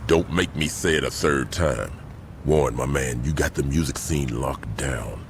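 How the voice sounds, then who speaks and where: An actor playing a cold, commanding gang boss in a gritty crime video game, blunt and full of street slang. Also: male voice, a bass voice, unhurried, slight background noise. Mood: firm, commanding.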